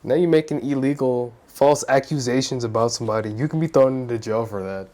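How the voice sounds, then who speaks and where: A man's voice: mid-range voice, brisk, darkish tone. A young man giving casual, slangy gameplay commentary, playful and brash as he reacts aloud. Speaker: gamer commentating on playthrough.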